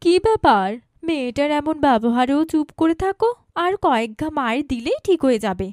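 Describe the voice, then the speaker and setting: A woman's voice: high voice, expressive, fairly quick, a little mellow, no echo, studio quality. A woman voicing a stern, reproachful mother of a teenage girl in a cartoon. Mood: scolding. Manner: reproachful, commanding. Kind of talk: cartoon dialogue acting.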